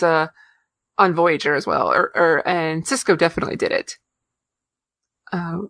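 A woman's voice: fairly low pitch, fairly bright tone, no echo. A woman co-hosting a podcast, chatting casually, with frequent laughs, fillers and restarts. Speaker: podcast co-host. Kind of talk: casual chat.

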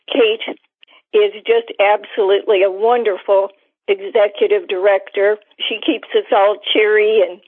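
A woman's voice: medium pitch, husky. A middle-aged or older woman calling in to a program with an effusive testimonial. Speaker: caller, long-time subscriber. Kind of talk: appreciative call-in testimonial. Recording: tinny telephone line.

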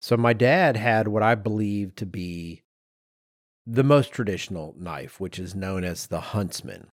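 A middle-aged man with a fairly low voice talks as a podcast co-host. He explains what he has researched in an informal, conversational way, with personal asides. The sound is close and dry, with no room on it. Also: measured pace, no echo.

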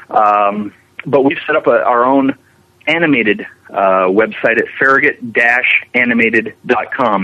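A man's voice: mid-range voice, pushed and bright. A man being interviewed as a guest, talking in a casual, explanatory and enthusiastic way, rambling on about his work. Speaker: interview guest, visual effects animator. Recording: dull lo-fi, radio-like remote line.

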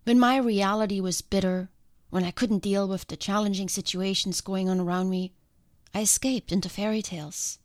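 A woman hosting her own podcast, talking in a calm, earnest and reflective way, with a congested voice from a sinus infection. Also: medium pitch, moderate pace, no echo, studio quality. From outside the country she now lives in. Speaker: podcast host. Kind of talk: reflective solo podcast monologue.

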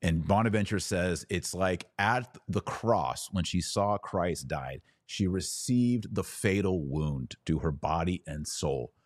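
A man hosting a podcast, giving a devotional theology talk with emphatic, deliberate phrasing and pauses for effect. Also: low-pitched, expressive, no echo, studio quality.

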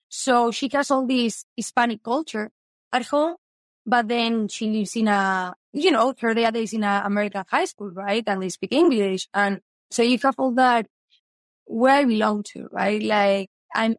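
A woman's voice: medium pitch, dry recording. Woman, Spanish accent, 30s, guest author, earnest and eager, dry recording. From Spain. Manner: earnest, eager, with non-native phrasing. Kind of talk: casual interview chat.

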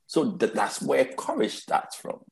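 A man from Liberia, a podcast guest telling stories in a slow, emphatic way and often repeating words as he starts a thought. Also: mid-range voice, huge pitch swings, creaky. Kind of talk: reflective conversational storytelling.

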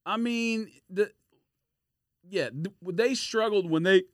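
A man's voice: sits high, takes it slowly, no room sound, studio quality. A man co-hosting a podcast in casual, slangy sports banter. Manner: slangy, frequently says bro and fam. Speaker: podcast co-host.

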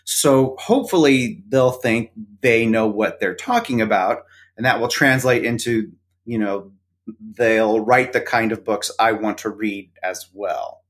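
A man's voice: on the low side, a little slow. A man speaking as a podcast guest, explaining things at length in a wry, rambling way with many fillers. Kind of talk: casual interview chat.

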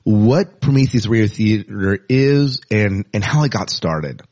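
A man's voice: lowish voice, expressive, measured pace, a little mellow. A man hosting a podcast interview, asking questions and answering with short affirmations. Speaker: podcast host. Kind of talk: interviewing a guest.

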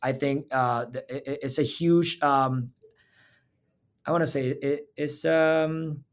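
A man's voice: fairly high pitch, steady tone, takes it slowly. A man from Thailand, a physical therapist talking as a podcast guest in an earnest, reflective way. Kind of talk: casual interview talk.

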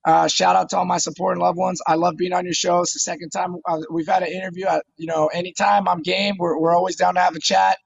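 A man's voice: sits high, little pitch movement, fast, creaky, few pauses, dry recording, lo-fi sound. A man in his thirties, a fighter talking casually in an interview, eager and often repeating words as he starts a phrase. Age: 30s. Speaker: MMA fighter guest.

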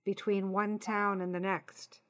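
Casting: middle-aged Canadian woman, interview host, friendly chatty delivery, mid-pitched. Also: level tone, unhurried, fairly bright tone. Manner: chatty, agreeable, laughs often. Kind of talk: casual interview chat.

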